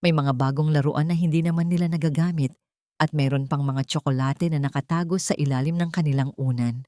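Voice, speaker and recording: Lady reader doing sly scheming woman for audiobook drama, fake worried, insinuating, mellow tone, dry sound. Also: low-pitched, steady tone, brisk, dry recording, natural voice. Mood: fake concern. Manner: insinuating, falsely concerned. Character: Maribel, scheming woman feigning sweet concern, insinuating.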